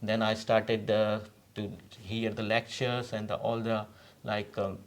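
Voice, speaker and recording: A man's voice: on the low side, little pitch movement, unhurried. A man from India with an Indian accent and Braj Bhasha as his mother tongue, giving a personal account as an interview guest. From Rajasthan, India. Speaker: community representative, interview guest. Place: TV studio.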